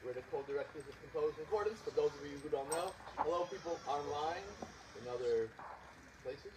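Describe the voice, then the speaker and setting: A man's voice: fairly high pitch, a little fast. A man hosting a small outdoor concert, talking in a rambling, self-deprecating way with many fillers. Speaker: concert co-director and host. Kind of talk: casual spoken introduction at a live concert. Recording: distant outdoor recording with background noise.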